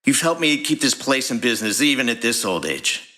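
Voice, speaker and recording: Guy doing old otter garage boss, kindly, pitch jumps around a lot, bright voice. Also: big pitch swings, a little fast. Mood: warm, grateful. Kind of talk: acted audio fiction dialogue.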